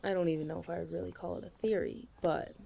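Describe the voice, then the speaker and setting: A woman's voice: on the low side, steady tone, quick pace, vocal fry, mellow, a little hiss or hum. A young woman playing a chatty, dramatic best friend in a casual ASMR audio roleplay. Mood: wistful, candid. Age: young adult. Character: chatty, caffeine-loving female best friend, self-deprecating about dating.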